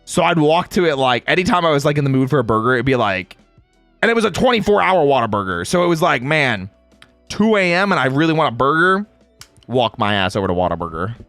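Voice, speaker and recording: A man's voice: mid-range voice, very animated, fast, fairly bright tone, no echo, faint music behind. A man in a playful, casual livestream chat, reading out viewer comments and replying in slangy speech. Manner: playful, reads chat aloud and replies.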